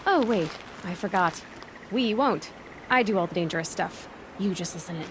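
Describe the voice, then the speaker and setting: A woman's voice: on the low side, animated, normal speed, noisy background, lightly acted. A woman playing a wry, defiant young huntress in a video game, with a sarcastic, dry manner. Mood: sarcastic, irritated. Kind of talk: video game dialogue acting.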